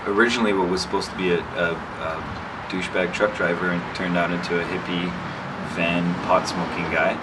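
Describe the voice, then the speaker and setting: Chatty young actor, distant and humming. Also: male voice, low voice, fairly quick. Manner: lively storyteller, acts out his own past lines; many restarts and "like" fillers. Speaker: actor, interview guest. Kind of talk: casual chat. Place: indoor sit-down interview. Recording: distant mic with clear background hum.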